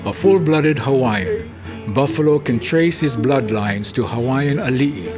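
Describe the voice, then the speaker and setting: A man giving scripted documentary narration in a measured, reverent manner. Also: mid-range voice. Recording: narration over guitar music.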